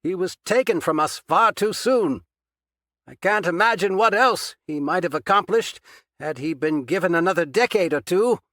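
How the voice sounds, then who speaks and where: A pompous, menacing villain , as a male narrator puts him on, who gloats in a lifted, loud, theatrical voice. His pitch sits well above the narrator's own and swoops with relish. The recording is dry and close. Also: animated, moderate pace, no echo. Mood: gloating. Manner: raised, grandiose, taunting delivery.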